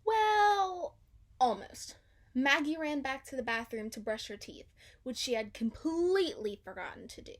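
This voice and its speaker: A woman's voice: high voice, animated, a little slow. A woman narrating a children's story aloud, expressively and with dramatic emphasis. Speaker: storybook reader.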